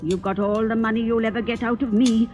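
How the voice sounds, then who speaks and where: An actress playing a stern, bitter old spinster, imperious and scolding, in old-time radio horror style. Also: female voice, on the high side, steady tone, fairly quick, a little mellow, lightly acted. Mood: cold, defiant. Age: older. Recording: muffled vintage radio recording, faint music.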